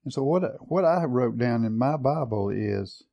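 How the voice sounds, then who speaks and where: A man's voice: mid-range voice, animated, moderate pace, vocal fry, muffled recording. A man teaching a Bible study session. He talks in an earnest, reflective way, reads and compares scripture translations, and often repeats words while he finds the phrase.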